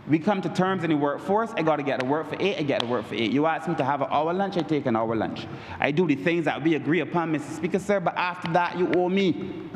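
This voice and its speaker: Bajan guy making a speech in parliament, kinda high voice, big roomy hall sound, faint hum behind. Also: quick pace. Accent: Barbadian. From Barbados. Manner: oratorical, repeats "Mister Speaker, sir" as a refrain; emphatic, rises in pitch on key points. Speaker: member of parliament. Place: large parliament chamber. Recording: echoey chamber with long reverb.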